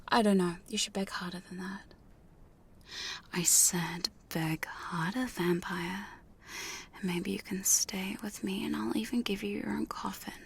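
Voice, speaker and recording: A woman's voice: mid-range voice, full character voice. A woman playing a teasing, domineering female vampire hunter in a mostly whispered, seductive ASMR roleplay. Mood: teasing, demanding. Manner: slow, breathy, seductive, commanding. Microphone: close studio condenser microphone.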